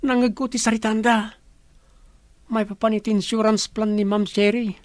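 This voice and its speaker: A man's voice: high voice, flat delivery, normal speed, no room sound, clean studio sound, natural voice. A man playing a scheming, suspicious villager in a conspiratorial radio drama style. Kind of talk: Ilocano radio drama acting.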